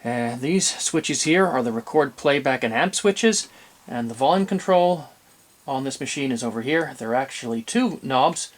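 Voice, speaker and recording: A man's voice: medium pitch, lively intonation, moderate pace. A man presenting an unscripted, methodical demonstration of vintage audio gear, explaining step by step and correcting himself as he goes.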